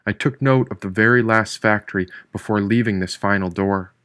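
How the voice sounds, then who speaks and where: A man's voice: on the low side, normal speed, a bit bright, dry recording, clean studio sound. A man reading a first-person novel aloud as a scripted audiobook narrator. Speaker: audiobook narrator, author reading own book.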